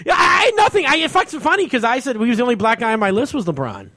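A man hosting a podcast in casual comedic banter, opinionated and quick to cut in with interjections. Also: extremely high pitch, wildly swooping pitch, pushed and bright. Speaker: podcast host.

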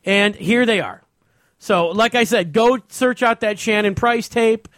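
A man with a notably high-pitched voice chats as a podcast host. His delivery halts and rambles, circling back over his own phrases in loose, crude comedic talk. The sound is very dry, with no room to it. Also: fairly bright tone, no room sound. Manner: halting, rambling, repeats himself. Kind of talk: casual banter, crude comedic chat.

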